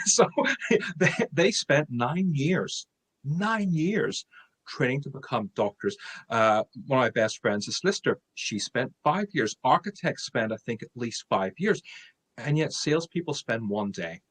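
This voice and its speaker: A middle-aged man with an Irish accent speaks as a podcast guest, with a husky grain to his voice. He talks in a mid-range pitch, hammers his points home by repeating them, and chuckles at his own remarks. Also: lively intonation, measured pace, fairly bright tone. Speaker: guest sales expert. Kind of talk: podcast interview.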